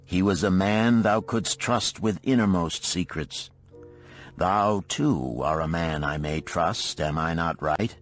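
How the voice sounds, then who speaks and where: A man playing a dignified old merchant who tells his past in measured, formal, archaic speech, in an audiobook. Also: low-pitched, faint music behind. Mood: earnest, confiding.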